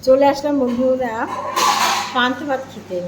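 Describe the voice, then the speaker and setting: A woman's voice: sits high, fairly even intonation, moderate pace, highs cut off. Female home vlogger, casual and chatty, repeats herself and quizzes viewers; roomy echo, noisy recording. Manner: repeats phrases, addresses viewers with questions. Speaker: home cooking and eating vlogger. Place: indoors at home. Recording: echoey room with clear background noise.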